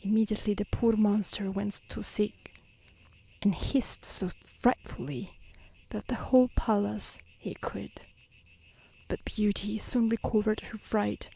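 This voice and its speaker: A calm woman reads a fairy tale softly for ASMR. Her delivery is slow and soothing, with gentle fry in the voice. Faint insects and nature ambience sit behind her. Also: mid-range voice, slight background noise, rough recording. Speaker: storyteller. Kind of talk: soft-spoken ASMR fairy tale reading. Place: outdoors in nature.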